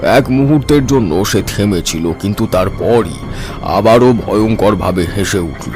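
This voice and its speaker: A man's voice: fairly low pitch, animated, unhurried. A man playing a grave tantric mystic who recounts his horror tale in a solemn, suspenseful, dramatic way. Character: grave male tantric sadhak recounting his battle with a demon. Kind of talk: dramatic scripted horror narration. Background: eerie background music.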